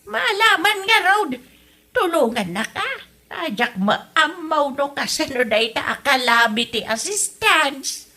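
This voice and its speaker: A woman plays a feisty Ilocano village wife in a comedy drama podcast, dodging and retorting. Her voice is high and bright, with very lively swoops in intonation. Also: slow, exaggerated voice. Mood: curious.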